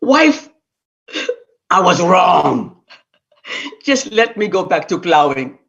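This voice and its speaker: A smug farmer husband who drawls his words slow and low, as a woman storyteller puts him on. The voice is husky and the recording sounds dull and lo-fi. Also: very animated, exaggerated voice. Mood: pleading. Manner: low drawling put-on man's voice.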